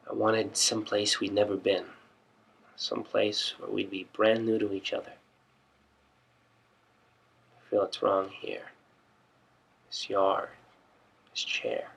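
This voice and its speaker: A man performing a monologue as an awkward man in love, speaking softly, sincerely and haltingly. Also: fairly low pitch, lightly acted, rough recording. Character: earnest, awkward, lovestruck man confessing quietly. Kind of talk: theatrical audition monologue.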